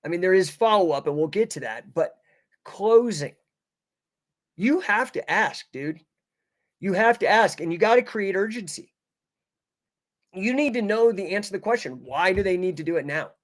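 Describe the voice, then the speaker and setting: A man's voice: sits high, lively intonation, normal speed, long pauses. A man coaching an online audience informally, speaking in emphatic short phrases with slangy, buddy-style address and frequent check-in questions.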